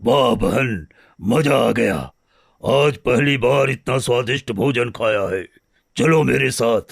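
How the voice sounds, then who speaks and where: A man voicing a noble, wounded but forgiving lion in a cartoon moral story, speaking in solemn, heartfelt phrases with a gravelly, husky voice. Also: mid-range voice, takes it slowly, no room sound, studio quality, full character voice. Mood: generous, warm. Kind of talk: animated moral story dialogue.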